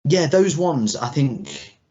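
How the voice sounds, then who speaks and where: A man's voice: a little high, wildly swooping pitch, slow pace, mellow. A man in his thirties co-hosting a podcast, chatting casually in a loose, rambling way. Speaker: podcast co-host. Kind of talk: casual chat. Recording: dull lo-fi podcast audio.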